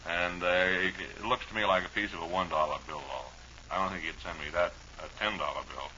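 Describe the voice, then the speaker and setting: A man hosting a radio show with a rambling, dryly humorous monologue and a hesitant, deadpan delivery on a muffled old recording. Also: low-pitched, fairly quick, slight background noise. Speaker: radio host.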